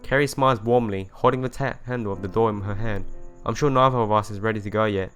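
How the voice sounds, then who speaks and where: A man's voice: on the low side, fast talker, fairly bright tone. A man reading visual novel narration and dialogue aloud as a let's play host, stumbling over and rereading the odd phrase, with game music behind him.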